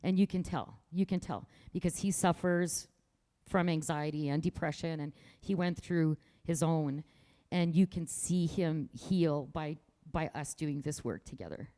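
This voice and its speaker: A woman's voice: deep voice, measured pace, warm tone. A woman artist from rural Manitoba talking casually about her work in an interview, outspoken and proud, with frequent restarts. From rural Manitoba, Canada.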